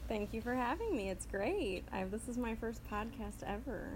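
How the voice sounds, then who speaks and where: A woman's voice: a little high, lively intonation, normal speed, noisy background. A woman, an artist, chatting casually as a podcast guest in an easygoing way. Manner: easygoing, says "like" a lot. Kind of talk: casual chat.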